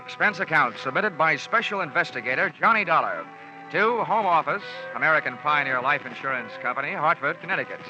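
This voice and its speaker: An actor playing a wisecracking freelance insurance investigator in a 1940s radio drama, talking in dry, sarcastic quips. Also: male voice, a little high, lively intonation, brisk, close to an everyday voice. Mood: wry. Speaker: lead character and narrator. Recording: muffled old radio recording with music.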